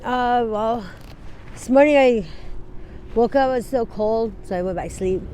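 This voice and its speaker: Woman, forties, from Wyoming, speaks unpolished and halting, wanders off mid-thought, laughs easily, street sounds behind her. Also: fairly high pitch, mellow, slight background noise. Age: 40s. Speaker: interviewee. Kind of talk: candid street interview. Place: outdoors on a city street.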